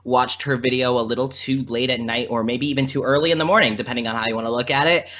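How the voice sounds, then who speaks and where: A man chatting casually as a podcast guest, telling stories in a rambling, animated way and often interrupting himself. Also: medium pitch, fast, a little hiss or hum. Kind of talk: casual chat, storytelling. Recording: dull lo-fi podcast audio.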